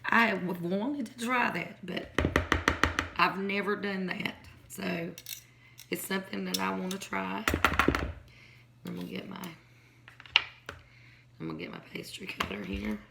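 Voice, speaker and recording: A hoarse woman, chatting casually as she shows her home cooking in a kitchen. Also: mid-range voice, expressive, a little slow, pauses often. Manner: chatty, rambling, folksy. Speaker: home cook. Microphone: phone or camera on counter. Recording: noisy home recording.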